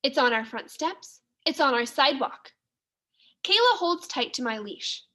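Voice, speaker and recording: Female storybook reader, teacherly and expressive; unhurried, very animated delivery. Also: high voice. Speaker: second grade teacher reading aloud. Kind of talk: children's story read-aloud.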